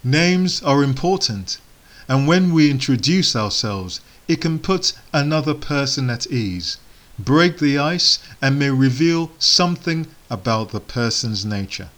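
Slow, measured male preacher, Igbo native. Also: mid-range voice, expressive, fairly bright tone. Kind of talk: sermon.

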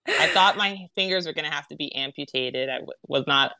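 A young woman from Southern California, a guest on a podcast, talking in a giggly, animated way. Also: low-pitched, a little fast, vocal fry, no echo, rough recording. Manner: giggly and animated, with many fillers. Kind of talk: casual chat.

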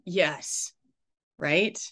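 A man hosting a casual interview, talking informally and haltingly as he thinks aloud. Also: on the high side, sharp tone. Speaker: interviewer, host.

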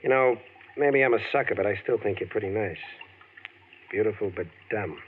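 Brief: man as low-voiced, hard-boiled heavy, 1940s radio, tinny. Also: moderate pace, lightly acted. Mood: coaxing. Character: tough-talking man, pursuing a desperate fugitive. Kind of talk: 1940s radio drama acting. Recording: tinny old radio broadcast, faint hiss.

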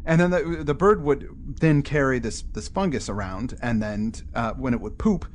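A man's voice: medium pitch, lively intonation, normal speed, slight background noise. A man co-hosting a podcast in casual, conversational discussion. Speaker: podcast co-host. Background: faint background music.

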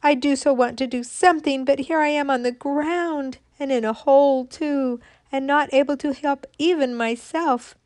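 A woman's voice: high-pitched, expressive, a little slow, a little mellow, no echo, voice pushed up. A woman voicing a small, timid and hopeful little acorn girl in a storybook tale, with an eager, pleading manner. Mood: despairing.

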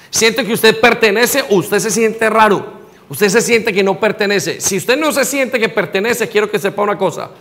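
Colombian male pastor, high-pitched, impassioned sermon delivery, reverberant hall sound. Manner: preaching, rising to near shouts, direct questions to listeners.

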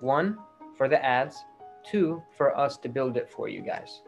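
A man giving a reassuring, persuasive sales pitch as a marketing agency salesman on a recorded call. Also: expressive, measured pace, vocal fry. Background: music.

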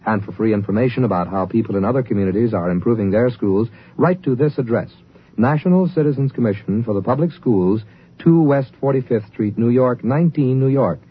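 A man's voice: medium pitch, lively intonation, brisk. A man reading a 1950s radio public service announcement in a formal, earnest announcer's style. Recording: muffled, hissy old radio recording.

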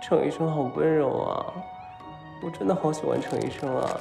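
A man's voice: a little high, moderate pace, creaky, over music. A man acting a drugged young man in an audio drama, whiny, weak and breathlessly pleading with the one he has a crush on. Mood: woozy, clingy. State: weak and feverish from drugs.

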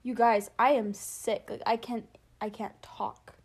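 A young woman with a fairly high voice chats casually with a friend. She tells long stories, packed with "like" and quick laughs. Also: fairly even intonation, husky. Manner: chatty storyteller, lots of "like" and laughs. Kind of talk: casual chat.

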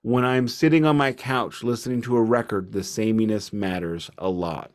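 A man's voice: on the low side, steady tone, moderate pace. A man co-hosting a music podcast, giving casual album reviews in an opinionated, emphatic, sometimes mock-formal way.

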